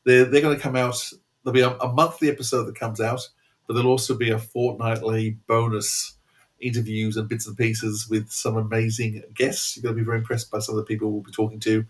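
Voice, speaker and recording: A man's voice: medium pitch, a bit bright. An enthusiastic Australian man chatting casually as a podcast co-host, rambling along as he goes.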